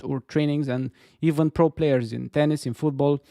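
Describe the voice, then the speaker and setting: Romanian man with a gravelly, dry voice. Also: a little high, fairly even intonation, moderate pace, dark tone, no echo. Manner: hesitant, many fillers and restarts. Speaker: stream co-host. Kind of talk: casual chat. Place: Stockholm.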